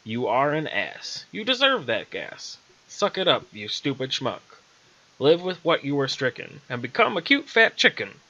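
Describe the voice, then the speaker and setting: Wry storybook witch, sassy and casual, bright tone performed by a man, slightly dull recording. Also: medium pitch, huge pitch swings, unhurried, exaggerated voice. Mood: chanting.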